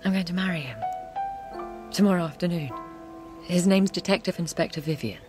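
Lady playing soft-hearted young woman, radio play, slightly low voice, music behind, regretful. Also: a little fast, natural voice. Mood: gentle, regretful. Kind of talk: radio drama acting.

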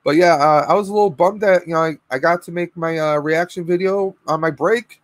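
Hoarse stream host, thirties, talks in a dry, close, higher voice with lots of fillers. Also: male voice, fairly quick, dry recording. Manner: chatty, rambling with fillers. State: losing his voice, clearing throat. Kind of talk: casual live stream chat. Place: home room with collectibles, indoors. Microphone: webcam video call.

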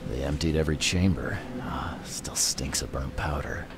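A world-weary male detective from a horror game. His voice sits extremely low and crackles with gravel. He speaks tersely and broodingly over heavy background noise. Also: moderate pace. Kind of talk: video game voice acting.

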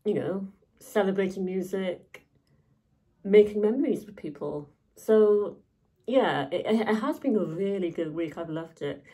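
A woman's voice: mid-range voice, animated, measured pace, dark tone, breathy edge. A young woman vlogging casually to camera, chatty and enthusiastic with lots of fillers.

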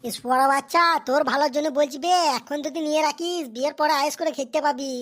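A performer voicing an excitable, scheming village elder in a comedy cartoon, coarse and quick to scold and haggle. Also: high voice. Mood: angry scolding.

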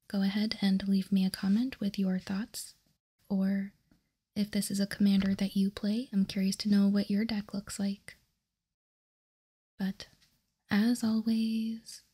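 A woman speaks in a soft ASMR whisper, very breathy and mellow. Her delivery is slow and deliberate, as if reading aloud carefully, close to the microphone. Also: mid-range voice. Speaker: host.

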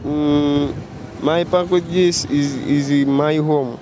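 A man's voice: a little high, fairly even intonation, takes it slowly, darkish tone, poor audio. A young man answering street quiz questions, hesitant and searching for words, into the host's handheld mic. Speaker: passer-by interviewee. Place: busy street outdoors. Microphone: handheld mic held by host. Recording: street traffic noise behind.

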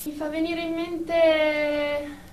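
A woman's voice: high voice, level tone, very slow, a little mellow. A woman playing a nervous, evasive young shop assistant being questioned in therapy, hesitant and defensive, in a radio drama. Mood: cautious, hesitant. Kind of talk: Italian radio drama acting. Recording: roomy, distant mic, faint noise.

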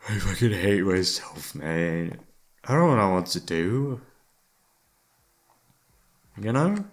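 A man with a gravelly voice rambling casually, tired and downcast, hesitant and self-deprecating, swearing often. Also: sits low. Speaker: audio creator talking to listeners. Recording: music playing behind the voice. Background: soft background music.

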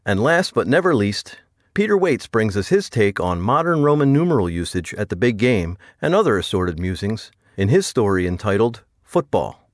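A man's voice: lowish voice, big pitch swings, moderate pace, no room sound, studio quality. A man hosting a podcast, reading a scripted introduction to the show. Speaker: podcast host.

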